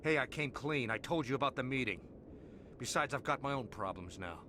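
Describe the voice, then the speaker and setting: An actor playing a shifty, cowardly crime boss in a video game. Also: male voice, medium pitch, lively intonation, a little fast, natural voice. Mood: defensive. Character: cowardly, shifty male crime boss, pleading for his life. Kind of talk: video game villain acting. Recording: clearly noisy game ambience behind.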